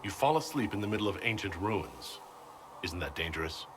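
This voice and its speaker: A man as a deep-voiced, guarded reluctant hero. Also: animated, a little fast, noisy background, close to an everyday voice. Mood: curious. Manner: plain-spoken, serious. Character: guarded, blunt male adventurer, reluctant hero. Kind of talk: video game character acting.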